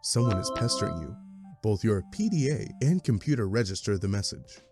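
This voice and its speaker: A man's voice: lowish voice, sing-song, brisk. A man playing a wry, theatrical narrator in a fan-dubbed webcomic, with an arch scripted delivery. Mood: dramatic. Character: deadpan, wry omniscient game-style narrator. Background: music.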